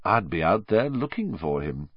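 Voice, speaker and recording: Man doing a malicious boy bully, low, hushed, sneering, drawn out. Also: sing-song, no room sound, muffled recording. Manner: quiet, slow, sneering. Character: sly, malicious schoolboy bully.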